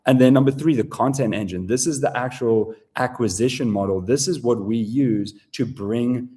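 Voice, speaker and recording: A man's voice: medium pitch, moderate pace, mellow. A man with a South African accent presents a live sales webinar in a casual, profane, filler-heavy style.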